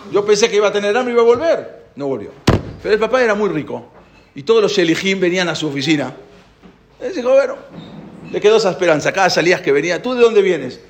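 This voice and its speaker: A man's voice: sits high, slight background noise. A man giving a spoken Torah class, asking his listeners questions and telling a parable. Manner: animated storyteller, asks the listeners questions.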